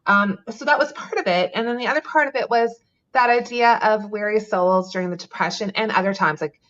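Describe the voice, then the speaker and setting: A woman's voice: medium pitch, fairly quick, fairly bright tone, lo-fi sound. A woman author answering interview questions over a remote call, thoughtful and chatty, with frequent ums and light laughs. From Canada. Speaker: author guest.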